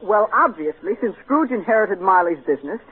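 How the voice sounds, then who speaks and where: A man's voice: sits high, lively intonation, brisk, husky. An actor playing a snooty, precocious rich boy with prim, formal diction in old-time radio style. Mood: skeptical, pedantic. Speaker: actor in a radio comedy-drama. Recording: narrow tinny vintage radio broadcast.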